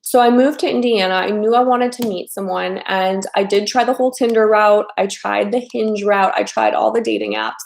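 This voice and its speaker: A woman in her thirties from the American Midwest talks casually to a live-stream audience as a dating coach. Her talk is chatty and loose, full of fillers and repeated phrases, at a middle pitch. She sounds like she is in a room with a noticeable echo. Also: animated, normal speed, gravelly, few pauses. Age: 30s.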